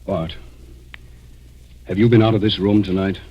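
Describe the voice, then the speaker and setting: An actor playing a serious, insistent investigator who questions in a measured way, in 1940s radio drama style. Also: male voice, deep voice, level tone, husky, dull audio. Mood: puzzled, probing. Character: grave, insistent male investigator and story narrator. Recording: vintage 1940s radio recording.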